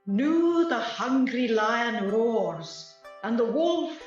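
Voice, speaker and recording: A woman acting a mischievous night sprite, chanting eerie verse in a drawn-out incantation for a radio play. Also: on the high side, animated. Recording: very echoey, distant, dull, over plucked music.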